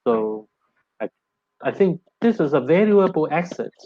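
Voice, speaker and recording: A man's voice: on the high side, very animated, a little slow, no room sound. A middle-aged man with a Hong Kong Cantonese accent, a native Cantonese speaker, explains as a professor answering questions, often repeating words as he goes. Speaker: linguistics professor, guest lecturer. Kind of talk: answering questions after an academic talk. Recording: dull, band-limited online call audio.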